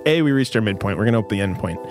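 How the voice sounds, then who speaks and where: A man hosting an improvised comedy podcast, playful and expressive, laughing often and reading aloud. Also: on the low side, rapid-fire, faint music behind.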